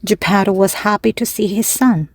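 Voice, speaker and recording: A woman narrating a children's story slowly and clearly for language learners, with pauses between phrases. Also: mid-range voice, no room sound. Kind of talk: slow, clear read-aloud for language learners.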